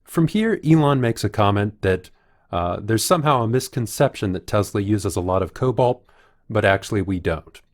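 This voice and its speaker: A man delivering analytical commentary in a measured, explanatory way, as a video commentator would. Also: lowish voice, animated. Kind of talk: analytical commentary, reading out quotes.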